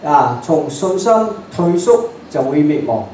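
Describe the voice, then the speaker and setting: A man from Hong Kong with a Hong Kong Cantonese accent, preaching a bilingual sermon in short emphatic phrases and pausing for his interpreter. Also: fairly high pitch, big pitch swings, dark tone. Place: church hall. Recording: very echoey hall, distant mic, faint hiss.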